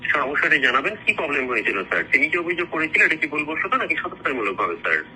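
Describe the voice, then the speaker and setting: A man's voice: fairly high pitch, big pitch swings, rapid-fire, sharp tone, slight background noise, exaggerated voice, rough recording. A Bangladeshi man playing a pushy, officious fake customer manager on the phone, formal and insistent, constantly saying sir. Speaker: phone caller. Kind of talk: prank phone call.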